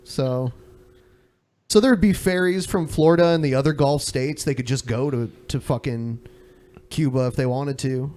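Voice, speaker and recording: A man's voice: medium pitch, moderate pace, dark tone. A man co-hosting a podcast, chatting casually and giving his opinions in informal, sweary language. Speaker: podcast co-host.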